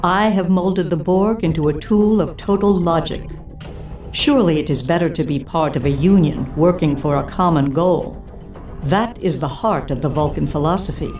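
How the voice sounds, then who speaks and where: A coldly logical alien cyborg queen from a video game, played by a woman. Her voice is low-leaning and very dark, with measured, formal menace. It sounds distant, with music behind it. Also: natural voice. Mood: smug, detached. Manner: formal, measured, imperious speech. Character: cold, coolly logical female Vulcan villain turned Borg leader. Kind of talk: scripted video game villain acting.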